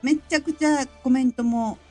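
A woman chatting in a lively, casual way as a guest on a live audio stream, with music behind her. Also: sits high, normal speed, breathy edge. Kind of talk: casual group chat and announcement.

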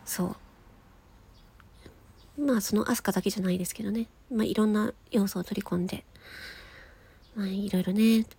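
A woman's voice: mid-range voice, fairly even intonation, brisk, vocal fry, long pauses, warm tone, slightly rough. A woman podcast host chatting casually and enthusiastically, with emphatic, giggly delivery. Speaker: podcast host and amateur voice actress.

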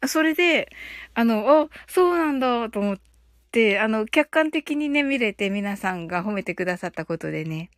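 Female livestream host, high voice, chatty and giggly, halting delivery in short casual phrases. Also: expressive, no echo, clean studio sound. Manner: halting, filler-heavy, short phrases with frequent "ne" tags. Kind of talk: casual livestream chat, greeting listeners and reading comments.

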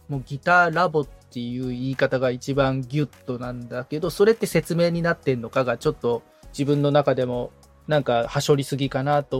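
A man speaking as a podcast assistant, thinking aloud tentatively in a casual, reflective discussion. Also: medium pitch. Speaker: podcast assistant, guitar repairman being coached.

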